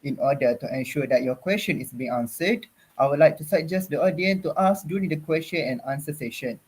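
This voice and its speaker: A man's voice: on the high side, expressive, a little fast, slightly rough. A young man from Malaysia moderating an online forum, speaking in a formal, ceremonious way over a video call.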